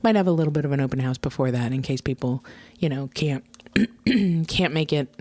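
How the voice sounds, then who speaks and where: A woman's voice: low-pitched, fairly quick, darkish tone, no echo. A woman co-hosting a casual podcast, talking informally as the organizer, with a chatty, playful manner. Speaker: co-host and tour organizer. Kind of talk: casual unscripted podcast chat.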